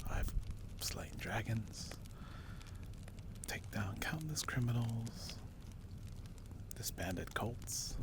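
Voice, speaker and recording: A man voicing a brave but wary barbarian recruiter in a dark fantasy ASMR roleplay, speaking in a hushed, halting way. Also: medium pitch. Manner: slow, hushed, halting delivery with pauses. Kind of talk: ASMR roleplay, whispered and softly spoken.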